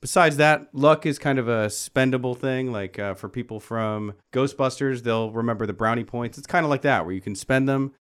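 A man in the role of a game master talks through tabletop rules casually. His pitch sits in an ordinary middle range, and he slips wry, joking asides into the informal explanation. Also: moderate pace, hardly any pauses, fairly bright tone, clean tone.

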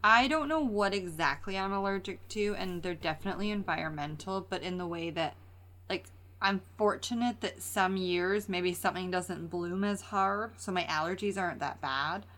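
A woman's voice: on the low side, moderate pace. A woman co-hosting a podcast, chatting casually and animatedly while congested from allergies. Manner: animated storyteller, acts out quotes. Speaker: podcast co-host. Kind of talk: casual chat.

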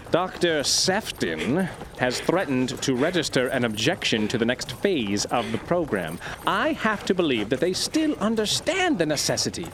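An earnest, animated obsessive scientist. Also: male voice, a little high, background noise. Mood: urgent, pleading. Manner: formal, grandiose, dictated diction. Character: earnest, obsessive male scientist reading out his research notes. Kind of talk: audio drama monologue as journal narration.

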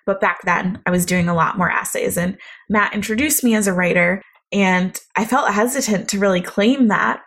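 A young woman with a naturally quiet voice, talking reflectively in a solo podcast monologue. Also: medium pitch. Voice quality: quiet, soft-spoken voice. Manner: reflective, conversational, thoughtful. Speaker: podcast host.

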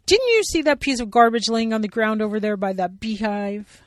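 A woman's voice: normal speed, no echo, clean studio sound, close to an everyday voice. Woman putting on a fretful young girl bear. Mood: scolding. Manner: excitable, high and loud.

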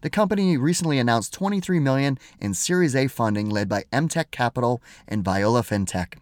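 A man in his forties hosting a podcast, reading the intro and then asking long, halting questions full of fillers. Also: mid-range voice, no room sound, studio quality. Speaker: podcast host.

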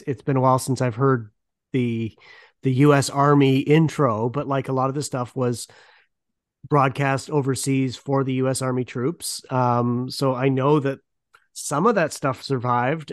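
A man's voice: medium pitch, fairly even intonation, normal speed, dry recording, studio quality. A man hosting a podcast interview in a casual, enthusiastic and appreciative manner.

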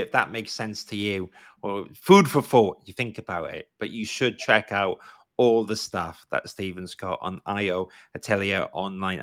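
A man's voice: fairly low pitch, fairly bright tone, no room sound. A man with a Welsh accent hosting a live-streamed interview, chatty and encouraging. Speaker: podcast host.

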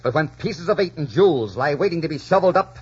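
An actor playing a proud, ruthless pirate captain in a 1940s radio drama, theatrical, commanding and taunting. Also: male voice, fairly high pitch, expressive, quick pace, slightly rough. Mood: bitter, proud. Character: proud, ruthless male pirate captain, brash and mocking former lover. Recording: muffled, noisy 1940s radio recording.

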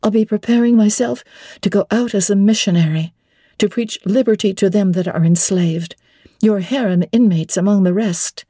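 A woman reading a classic novel aloud, playing a spirited, defiant young English governess with a brisk, emphatic manner. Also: medium pitch, warm tone, no echo, studio quality, lightly acted. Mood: spirited, mock threatening. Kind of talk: dramatic classic audiobook reading.

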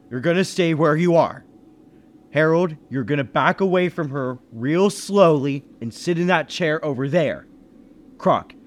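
An actor playing a suspicious businessman who takes charge with folksy authority in a 1930s-style radio mystery. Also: fairly high pitch. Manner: commanding, folksy interrogator. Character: suspicious businessman narrator taking charge of a murder inquiry.

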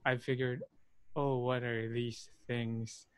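A man chatting casually and asking questions, relaxed, with frequent fillers. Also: medium pitch, slow, clean tone, no room sound. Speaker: conversation partner asking questions. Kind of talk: casual conversation.

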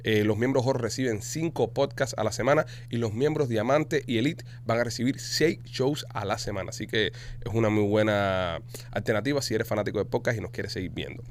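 A Cuban man hosting a podcast, rattling off sponsor reads and questions at a fast clip. His talk is chatty and salesy. A faint hum sits under the voice. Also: on the low side. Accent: Cuban Spanish. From Cuba. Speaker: podcast host.

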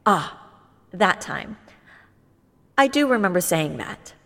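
A brisk scheming leader. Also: female voice, on the low side, very animated, creaky, a bit bright, full character voice. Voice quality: brisk and decisive, dry. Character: scheming, commanding woman leader. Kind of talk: audio drama acting.

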